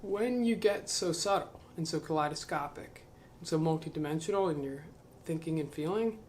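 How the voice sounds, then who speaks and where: A man reading a spiritual book aloud to camera. He adds slow, musing commentary, questions himself, and trails off into mumbles. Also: fairly high pitch, a little mellow, slight background noise.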